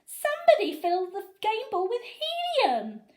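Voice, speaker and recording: A woman voicing an excitable sports fan who exclaims loudly from the stands in a children's story. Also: very high voice, measured pace, voice pushed up, full character voice. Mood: indignant shouting. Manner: loud, exclaiming.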